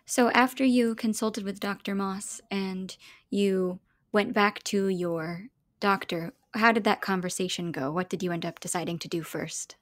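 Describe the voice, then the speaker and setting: A woman hosts a podcast interview at an everyday mid pitch. She asks open questions and offers short, encouraging responses. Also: steady tone, a little slow. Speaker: podcast host. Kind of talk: interview hosting.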